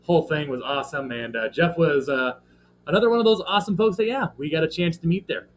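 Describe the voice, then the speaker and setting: A man's voice: on the high side, fast talker. A man with a slightly scratchy voice, hosting a casual livestream and talking in a rambling way with lots of fillers while greeting viewers in the chat. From American Midwest. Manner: rambling, filler-heavy, friendly shout-outs to chat. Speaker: livestream host. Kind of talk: casual live stream chat.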